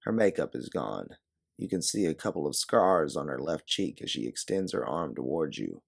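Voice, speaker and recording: A man's voice: a bass voice, very animated, normal speed, creaky. A man reading a scripted second-person story in a dramatic narrating style. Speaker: narrator of an original audio story.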